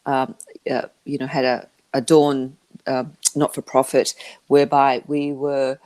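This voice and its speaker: A woman who learned English as a second language, answering interview questions about her business in a halting way, with many restarts. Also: extremely low pitch, flat delivery, dark tone, low-quality recording. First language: not English. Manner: halting, with frequent restarts and fillers. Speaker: interview guest, media business founder.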